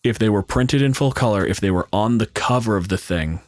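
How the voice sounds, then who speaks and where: A man with a fairly low voice, recorded dry without room sound. He speaks as a podcast panelist, frank and explanatory in a casual discussion. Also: a little fast, no room sound.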